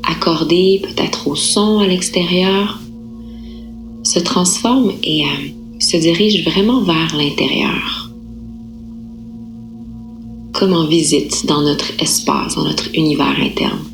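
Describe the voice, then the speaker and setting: A woman yoga nidra instructor guiding a relaxation meditation in a calm, unhurried, soothing manner with gentle pauses. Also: on the low side, over music, rough recording.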